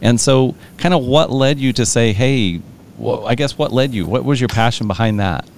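A man hosting an interview, enthusiastic and quick to laugh. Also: mid-range voice, a little hiss or hum. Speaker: podcast host. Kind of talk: conversational interview.

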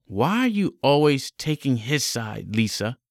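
A man voicing a tough teenage bully who talks low and slow with threat. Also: big pitch swings, gravelly, no echo, studio quality. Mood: menacing.

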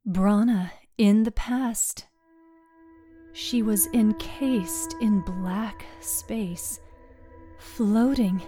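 A woman narrates a fiction podcast as its storyteller, very slowly and deliberately. Her voice is mellow and dark, and she lingers on short, halting phrases. Music plays beneath her. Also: medium pitch. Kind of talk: dramatic scripted narration. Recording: music under the voice.

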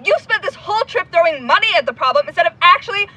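A woman's voice: very high-pitched, expressive, rapid-fire, sharp tone, slight background noise, full character voice. An actress playing an intense, stubborn young woman investigator in an audio drama, blunt, sarcastic and sweary. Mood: angry, hurt. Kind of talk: naturalistic audio drama acting.

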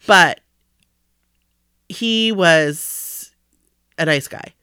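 Bright-voiced woman chatting with laughs. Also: fairly low pitch, very slow, clear, resonant tone, no echo, studio quality. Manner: laughs often, tells anecdotes. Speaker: podcast co-host. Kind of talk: casual chat. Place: basement room under an air conditioner.

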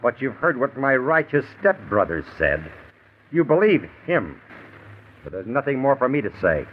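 A man's voice: mid-range voice, sing-song, moderate pace, natural voice. An actor playing a bitter, defiant convicted man, sardonic and clipped, in 1950s American radio style. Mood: bitter defiance. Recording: noisy, tinny 1950s radio recording.

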